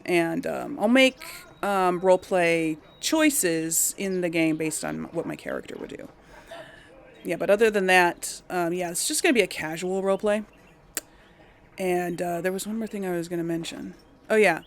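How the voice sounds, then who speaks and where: A woman's voice: on the low side, moderate pace. A woman hosting a casual game playthrough, chatting informally to her audience and thinking aloud. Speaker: host of a game playthrough series. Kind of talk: casual chatty let's play commentary.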